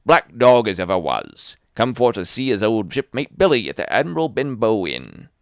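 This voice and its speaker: A man's voice: lowish voice, big pitch swings, normal speed, gravelly, no echo, clean studio sound. A man reading an audiobook, voicing a fawning, sneering old pirate with a maimed hand who coaxes and threatens by turns. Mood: sly. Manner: fawning yet sneering, coaxing. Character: wheedling one-handed old pirate man. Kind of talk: audiobook character voice.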